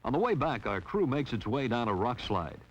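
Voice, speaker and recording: A man's voice: medium pitch, very animated, a little fast. Wry male narrator on an old film reel. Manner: wry, teasing. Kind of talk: scripted humorous narration. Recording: dull lo-fi 1960s film soundtrack.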